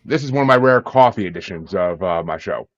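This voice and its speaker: Chatty low-voiced male podcast host. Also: lively intonation, fairly quick, no echo. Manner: jokey, chatty, laughs. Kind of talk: casual interview chat. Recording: dull dry lo-fi sound.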